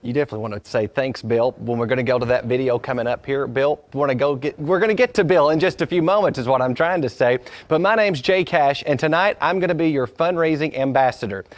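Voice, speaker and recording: A man's voice: mid-range voice, fast, few pauses. A man hosting a fundraising event, chatty and salesy, sometimes stumbling over his words. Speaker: fundraising host.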